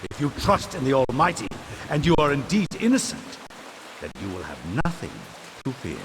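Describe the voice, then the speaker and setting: A man's voice: medium pitch, wildly swooping pitch, moderate pace. A man playing an accusing, imperious church inquisitor with theatrical, archaic commands in a video game cutscene. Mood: cold threat. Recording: hissy, noisy rainstorm behind voice.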